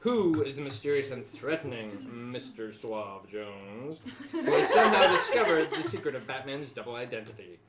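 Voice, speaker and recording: A man's voice: medium pitch, normal speed, crowd noise. A man narrating and hosting an old-time radio serial reading in a theatrical, dramatic announcer style. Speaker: narrator and host. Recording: echoey room, dull sound, lively group behind.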